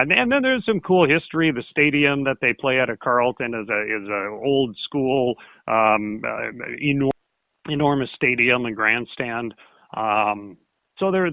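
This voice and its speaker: A man speaking as a sports broadcaster guest in a casual interview, with a folksy, halting, rambling way of talking. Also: medium pitch, no echo, phone-quality sound.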